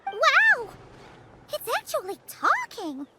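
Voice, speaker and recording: A woman voicing a tiny, excitable, chatty fairy companion in an English game dub, exclaiming and talking in the third person. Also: very high-pitched, slow, very noisy, full character voice. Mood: surprised.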